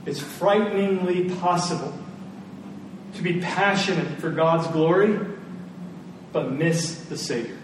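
High-voiced male preacher in a roomy space. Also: measured pace, pauses often. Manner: earnest, emphatic, repeats key phrases. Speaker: pastor preaching to his church. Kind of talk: sermon. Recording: roomy, distant mic, faint noise.

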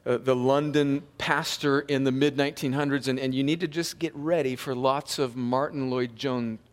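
A male pastor preaching a sermon, chatty at times and then emphatic, sniffling with a slight cold. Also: mid-range voice. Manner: conversational preaching that rises to emphatic peaks. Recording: long hall reverb.

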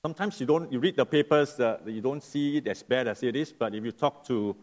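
A middle-aged man from Singapore, preaching as a guest. He sounds nasal from a lingering cough and speaks in a friendly, unhurried way, often stopping to rephrase. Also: mid-range voice. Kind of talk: sermon.

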